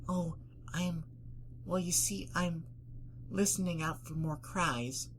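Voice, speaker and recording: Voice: fairly even intonation, slow, a little hiss or hum, close to an everyday voice. A reader voicing a shy, fearful female pegasus pony in a hesitant, timid way for a fan story. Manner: hesitant, timid, squeaky when upset.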